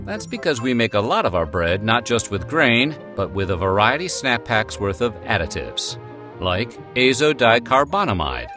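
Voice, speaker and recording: A man's voice: medium pitch, very animated, moderate pace. A man giving playful scripted narration with wry, jokey asides. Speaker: narrator of a food history video. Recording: music bed behind the voice. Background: background music.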